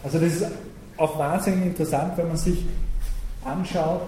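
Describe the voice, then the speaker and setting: A German-speaking man lectures in a strongly echoing room, and his voice sits a little high but sounds mellow and dark. He talks in a thoughtful, hesitant way, often pausing on fillers. Faint noise hums behind him. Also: fairly even intonation. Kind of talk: academic talk and discussion.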